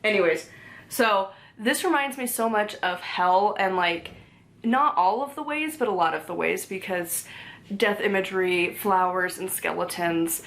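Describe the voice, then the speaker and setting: A woman's voice: medium pitch, measured pace, a bit bright. A young woman chatting casually at home about her library books, rambling, full of "like" fillers, with easy laughs. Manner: rambling, chatty, many "like" fillers and self-corrections; easily laughs, talks to her cat between books. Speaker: book vlogger. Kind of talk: casual chat. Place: home, indoors.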